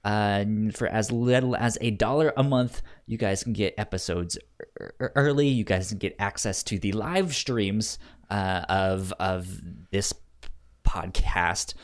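A man's voice: lowish voice, a little slow. Casual male podcaster with a stammer. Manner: stammering, repeats words. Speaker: podcast co-host.

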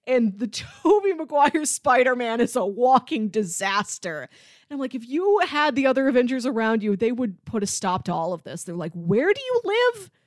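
A woman's voice: a little high, sing-song, normal speed, no echo, clean studio sound. A woman co-hosting a podcast, talking casually and analytically in fluent, emphatic points. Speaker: podcast co-host. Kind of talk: casual analytical debate chat.

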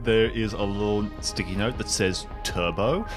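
A man's voice: on the low side, flat delivery, normal speed, over music. A man improvising audio drama as a player, telling his character's actions in a casual, joking manner. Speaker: player and co-narrator.

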